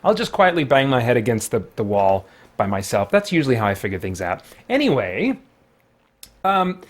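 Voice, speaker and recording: A man's voice: medium pitch, sing-song, moderate pace. A man hosting a live stream with casual, rambling, self-deprecating chat.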